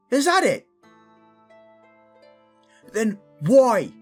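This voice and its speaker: Male actor as gruff young fantasy protector, devoted, intense, slow deliberate delivery, widely swinging pitch, music underneath. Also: exaggerated voice. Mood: angry, hurt. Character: protective young commoner man, gruff and devoted, guarding royalty. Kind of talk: fantasy audio roleplay acting.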